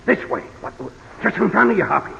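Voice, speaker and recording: An actor playing a folksy, grumbling older cowboy sidekick with a drawling American Western accent in a radio drama. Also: male voice, high-pitched, big pitch swings, fairly quick, creaky, pushed and bright, full character voice. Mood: nervous. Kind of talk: old-time western radio drama acting. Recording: echoing, dull, noisy vintage radio recording.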